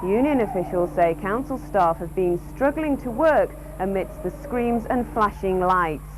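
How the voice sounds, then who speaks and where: Woman, regional newsreader, scripted bulletin, medium pitch, old TV recording with faint hum. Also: darkish tone, rough recording. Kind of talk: scripted news bulletin reading.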